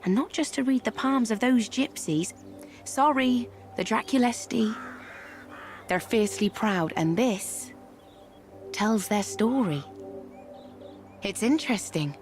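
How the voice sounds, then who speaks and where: Wry, worldly herbalist over music. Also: female voice, a little high, expressive, slow, long pauses, darkish tone. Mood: curious, wry. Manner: wry, musing, theatrical. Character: wry, worldly female herbalist and fortune-teller. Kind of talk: video game cutscene acting.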